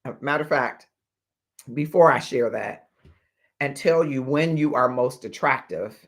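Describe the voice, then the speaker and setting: A man in his fifties hosting a motivational livestream as a life coach, speaking in deliberate, emphatic phrases with pauses. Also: mid-range voice. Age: 50s. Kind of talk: motivational livestream talk to viewers.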